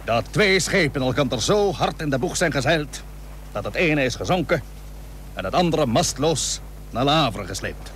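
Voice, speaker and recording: Blunt, expressive old-time Dutch sea captain. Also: male voice, fairly high pitch, moderate pace. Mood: weary. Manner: blunt, formal archaic diction, weighty. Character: De Ruiter, plain-spoken, reluctant but dutiful 17th-century sea captain. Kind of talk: Dutch historical radio play acting. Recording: old radio recording with hum and hiss.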